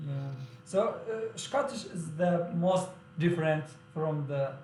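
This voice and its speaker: Halting non-native man, echoey room. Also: a little high, darkish tone, a little hiss or hum. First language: not English. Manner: halting, questioning, broken grammar. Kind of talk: casual conversation.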